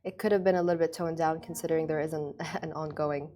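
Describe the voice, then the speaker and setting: A woman's voice: low voice, steady tone, quick pace, warm tone, slight background noise. A woman hosting a business show in a studio, candid and chatty, laughing often. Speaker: host. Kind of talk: casual studio chat.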